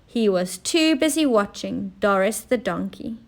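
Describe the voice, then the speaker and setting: Slow-paced woman reading a children's story. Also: mid-range voice. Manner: expressive, deliberate. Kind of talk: scripted children's story narration.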